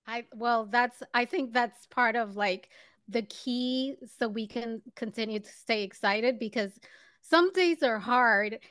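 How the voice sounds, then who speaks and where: A woman with a fairly high voice hosts an interview, reading a polished intro before moving into friendly chat. She greets her guest with an upbeat "love". The sound is dry and close to the mic. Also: slow, dry recording. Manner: warm, upbeat greeting of "loves". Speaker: podcast host. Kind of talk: interview host, scripted intro then chat.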